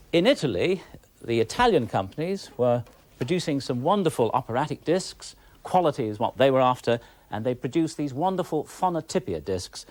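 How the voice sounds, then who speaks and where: A male presenter with a mid-pitched voice that rises and falls a great deal. He explains things conversationally, like an expert, with enthusiasm and emphasis. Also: a little mellow. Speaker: presenter, recorded music expert. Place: television studio.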